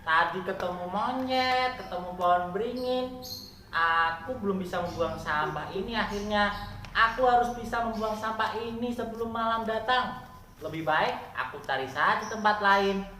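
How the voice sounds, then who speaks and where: Woman playing an expressive young storybook boy. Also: medium pitch, normal speed, close to an everyday voice. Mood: frustrated. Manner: expressive, childlike read-out dialogue. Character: stubborn young boy in a fairy tale. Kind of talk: dramatized fairy-tale reading. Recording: roomy, echoing, slightly noisy recording.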